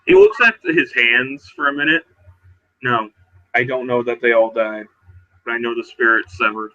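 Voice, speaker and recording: Bright, forceful man roleplaying, muffled audio. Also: mid-range voice, steady tone, normal speed. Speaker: tabletop roleplaying player. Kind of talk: casual improvised roleplay narration and in-character talk. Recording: dull, muffled online voice-chat audio.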